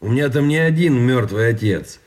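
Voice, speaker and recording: Male actor as army colonel, mid-pitched, stern, proud, clipped, commanding, radio drama. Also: expressive, brisk, a little mellow, close to an everyday voice. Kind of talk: radio play acting.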